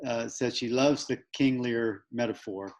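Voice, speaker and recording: Halting man hosting a spiritual discussion. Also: mid-range voice. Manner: halting, repeats words while searching for phrasing. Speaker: book club host.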